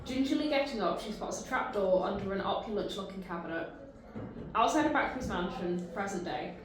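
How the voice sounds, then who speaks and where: A woman's voice: medium pitch, animated, fairly quick, heavy reverb, background noise. A woman reading narration and stage directions at a table read.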